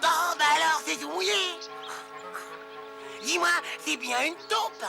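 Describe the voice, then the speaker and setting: An actor voicing a breezy, bossy but kindly male river rat in an animated film dub, chummy and exclamatory. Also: high-pitched, measured pace, gravelly, full character voice. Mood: brisk, friendly. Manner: exclamatory, chummy, self-assured. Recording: loud music behind the voice.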